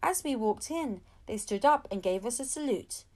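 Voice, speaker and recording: Woman, higher voice, expressive storybook narration, audiobook reader for children. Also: moderate pace. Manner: expressive storytelling with acted-out dialogue. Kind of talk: scripted storybook narration read aloud for children.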